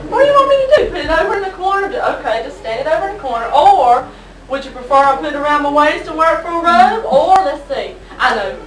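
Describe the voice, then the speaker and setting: A woman acts a griping wife in a comic stage sketch, high-voiced and sarcastic. She sounds exasperated and theatrical. She is distant in an echoey hall with an audience. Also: very animated, fast, crowd noise.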